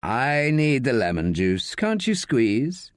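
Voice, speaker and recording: A man's voice: medium pitch, sing-song, slow pace, no echo, studio quality. A man voicing a guarded, wry male detective in a narrated story. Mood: brisk, evasive.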